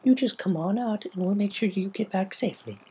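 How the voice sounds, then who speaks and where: A woman's voice: medium pitch, dark tone, slightly rough, voice pushed up. A woman voicing an elegant, composed female unicorn with a refined, caring manner in a story reading. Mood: soothing, caring. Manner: refined, polite, measured phrasing.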